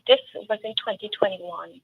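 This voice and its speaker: A woman's voice: mid-range voice, normal speed, creaky, slightly rough. Woman with a Trinidadian accent over a tinny line. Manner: earnest, emphatic, with storytelling asides. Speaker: political candidate. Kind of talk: interview answers. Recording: tinny phone-like line with faint noise.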